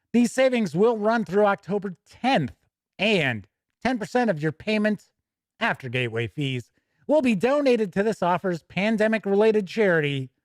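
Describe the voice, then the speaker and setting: Higher-voiced man hosting gaming news, dry sound. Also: no echo. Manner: chatty, informal asides while reading aloud. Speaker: host of a tabletop gaming news show. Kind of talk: casual live-stream news reading and commentary.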